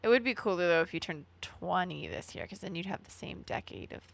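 A young woman with strong vocal fry hosts a casual chat in a dry, close recording. She is upbeat, laughs easily and keeps the talk moving with questions. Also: on the low side, lively intonation, fairly quick, no echo. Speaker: podcast co-host.

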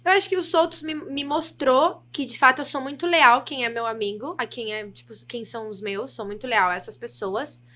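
A woman's voice: high-pitched, fairly quick, few pauses. A young woman from the São Paulo interior, a podcast guest telling candid stories in casual chat, laughing easily. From interior of São Paulo state. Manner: candid, laughing, lively storytelling. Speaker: podcast guest, reality show participant.

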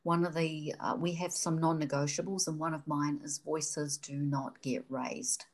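A woman's voice: sits low, steady tone, normal speed. A woman from New Zealand with a New Zealand accent, hosting an interview conversation and asking questions in an effusive, enthusiastic way. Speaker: podcast host.

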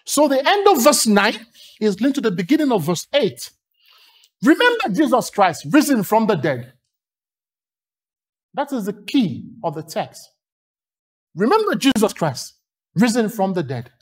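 Lively Nigerian man preaching. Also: extremely high pitch. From Lagos, Nigeria. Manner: emphatic, rhythmic repetition and long lists. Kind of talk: sermon.

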